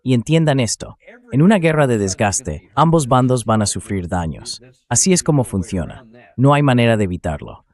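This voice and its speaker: A man's voice: medium pitch, sing-song, normal speed, darkish tone. A man hosting a political commentary show, explaining his points emphatically and addressing viewers directly.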